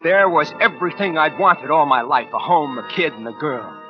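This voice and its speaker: A man's voice: a little high, moderate pace, lightly acted. An actor in a 1940s radio crime drama playing a cold, self-justifying American killer, brooding as he narrates and clipped when he talks. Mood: agitated self-justifying. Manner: brooding first-person narration with hesitant, clipped dialogue. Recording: muffled 1940s radio broadcast, faint music.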